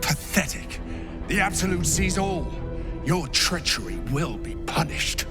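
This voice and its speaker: Slow-talking menacing cult traitor. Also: male voice, on the high side, animated. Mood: menacing, scornful. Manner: slow, cold, deliberate. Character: menacing traitor soldier, fanatical servant of a dark cult. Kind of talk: dramatic video game acting. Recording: loud music under the voice. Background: loud cinematic game music.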